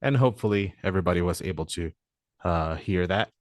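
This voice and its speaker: A man presenting a software demo walkthrough in a webinar, talking hesitantly with frequent "uh" fillers. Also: low-pitched, a little fast, no room sound, clean studio sound.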